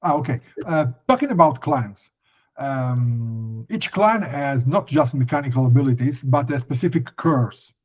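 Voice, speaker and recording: Through slightly dull, lo-fi audio, a male interviewer puts prepared questions to a guest. He is polite and deferential, with a halting delivery and a middling pitch. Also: lively intonation, darkish tone. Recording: muffled, band-limited audio.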